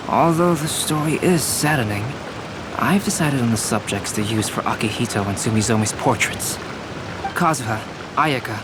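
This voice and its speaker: A man playing a gentle, thoughtful young painter in a video game, polite and measured. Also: medium pitch, noisy background, close to an everyday voice. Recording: clear rain-like ambience behind.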